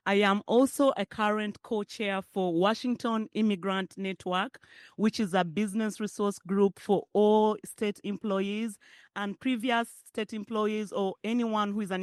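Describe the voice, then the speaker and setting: A middle-aged woman from Uganda with a Ugandan accent, speaking warmly and chattily at a public forum and laughing often. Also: mid-range voice, clear, resonant tone, no room sound, studio quality. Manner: warm, emotional, chatty asides with frequent laughter. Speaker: guest speaker, parent advocate. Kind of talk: personal speech at a public forum. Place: hotel ballroom event.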